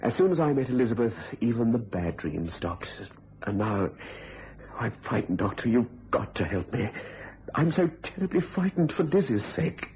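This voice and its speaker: An actor playing a frightened, anguished husband who fears for his sanity in a radio thriller, with a halting, overwrought delivery. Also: medium pitch, sing-song, close to an everyday voice. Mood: terrified, distraught. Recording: dull lo-fi radio recording.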